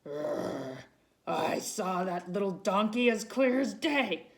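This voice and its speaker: A woman's voice: mid-range voice, expressive, slow pace. A woman voicing a mean, hungry lion who mutters in bafflement, for a storybook. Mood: bewildered. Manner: muttering, grumbling.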